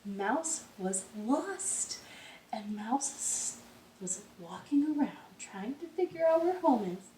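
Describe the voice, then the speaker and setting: A woman hosting a storytime for young children, reading aloud expressively with drawn-out phrases and questions to her listeners, recorded indoors at home. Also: fairly high pitch, lively intonation, mellow, a little hiss or hum. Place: indoors, in a living room. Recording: roomy, echoey home room sound.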